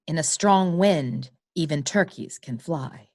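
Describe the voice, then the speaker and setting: An actress playing a precise, competitive woman attorney in a noir comedy radio play, speaking in a formal, measured way. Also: low-pitched, a little mellow, heavily acted. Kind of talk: comic noir radio acting.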